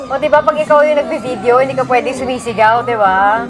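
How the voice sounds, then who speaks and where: A Filipino woman chatting casually as she reacts to a concert video, excitable, giggly and teasing. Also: high voice, brisk. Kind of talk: casual chat, live reaction commentary. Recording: music and crowd noise behind. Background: music and cheering crowd from concert video.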